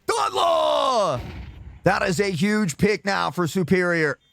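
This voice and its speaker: A male esports caster with a slightly sore throat, calling play-by-play and erupting into loud shouts on big plays. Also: high-pitched, big pitch swings, slow pace, vocal fry, dry recording.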